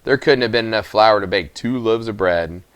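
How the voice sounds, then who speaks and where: A man's voice: lowish voice, normal speed, smooth, no echo. A man narrating a children's novel aloud from the text as a storybook reader.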